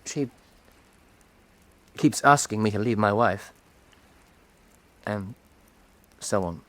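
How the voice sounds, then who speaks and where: An earnest, defensive painter. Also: male voice, fairly low pitch, takes it slowly, a bit bright. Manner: hesitant, earnest, stiffly proper. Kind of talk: radio drama acting.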